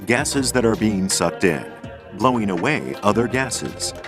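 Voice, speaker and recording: A man reads scripted documentary narration in a low register. His delivery is measured and explanatory, with lively, varied intonation, and music plays clearly underneath.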